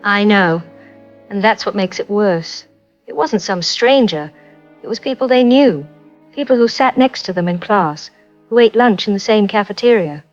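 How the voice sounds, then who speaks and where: A woman's voice: mid-range voice, animated, normal speed, dull audio, faint music behind, close to an everyday voice. A woman playing a shaken radio caller. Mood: distressed. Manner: distressed, pleading.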